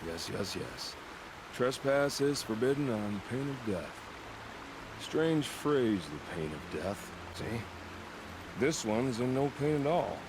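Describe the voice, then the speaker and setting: An actor playing a wry, sinister wandering outlander killer in a video game, musing with sardonic dark humour. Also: male voice, mid-range voice, big pitch swings, noisy background. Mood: wry menace. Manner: sardonic, musing, unhurried phrasing. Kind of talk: dramatic video game dialogue.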